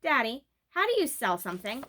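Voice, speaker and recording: A woman's voice: fairly high pitch, big pitch swings, brisk, pushed and bright, heavily acted. A woman voicing an earnest, worried eight-year-old girl in a storybook, with a questioning manner. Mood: curious.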